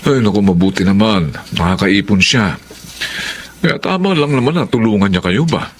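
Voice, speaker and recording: An actor playing a plain-spoken, brisk adult Filipino son in a radio drama. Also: male voice, on the low side, lively intonation, a little hiss or hum, natural voice.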